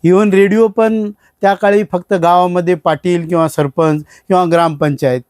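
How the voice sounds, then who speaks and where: A man's voice: sits high, steady tone, moderate pace, a little mellow, no room sound, clean studio sound. A man talks informally as a collector, explaining his items in short phrases. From India. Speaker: radio collector being interviewed.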